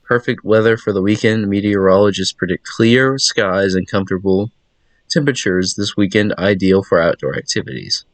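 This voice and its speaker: A sober reporter reads scripted village news from a game, voiced by a man. The voice is fairly low. The sound is close and dry. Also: normal speed, no echo, natural voice.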